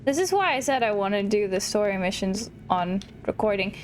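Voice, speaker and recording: A woman hosting a gaming video, chatting casually and slowly as she reacts to the game aloud. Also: mid-range voice, animated, a little hiss or hum. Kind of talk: casual let's play commentary with dialogue read aloud.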